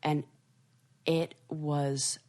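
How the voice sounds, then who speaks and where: A chatty woman co-hosting a podcast, with a lower voice that she lets jump up when a story gets going. She talks loosely, piles up fillers like "like", and tells stories with lots of animation. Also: level tone, extremely slow, warm tone. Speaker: podcast co-host. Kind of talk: casual chat.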